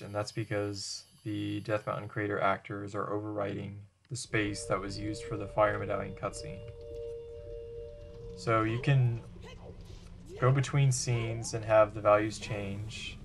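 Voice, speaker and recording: A man narrating a tutorial over gameplay in a matter-of-fact, step-by-step way. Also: fairly low pitch. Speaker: video presenter demonstrating a game glitch.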